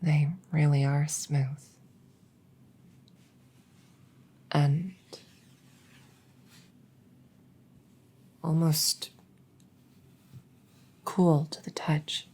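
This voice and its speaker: Breathy, hushed young princess, acted by a woman. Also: low voice, little pitch movement, full character voice. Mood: tender wonder. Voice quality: soft, breathy, often whispering. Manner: slow, hushed, deliberate, coy pauses. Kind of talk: intimate roleplay audio drama, ASMR-like.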